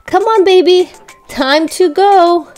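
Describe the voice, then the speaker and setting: A woman's voice: very high-pitched, slow pace, a little mellow, faint music behind. A woman playing a playful cartoon mommy coaxing her toddler in singsong baby talk for children's toy role-play.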